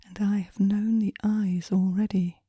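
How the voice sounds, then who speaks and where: A woman recites poetry in a hushed, breathy whisper meant for relaxation. Her delivery is gentle and unhurried, with very little rise and fall. The tone is extremely soft and dark, captured close and dry. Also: mid-range voice, level tone, no room sound. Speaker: poetry reader.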